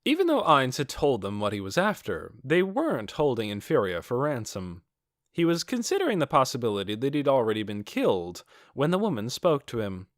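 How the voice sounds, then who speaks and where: A man's voice: medium pitch, huge pitch swings, fairly quick, dry recording, studio quality. A man reading scripted fantasy narration as an audiobook. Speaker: audiobook reader.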